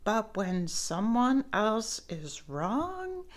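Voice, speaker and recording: Lady doing a wise old granny squirrel, slow gentle advice. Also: mid-range voice, sing-song.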